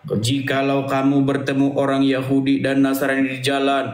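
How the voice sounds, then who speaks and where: A man's voice: medium pitch, monotone, normal speed. A man in an informal religious debate, speaking insistently and often checking agreement with rhetorical questions. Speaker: debater leading a religious discussion. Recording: echoey room sound.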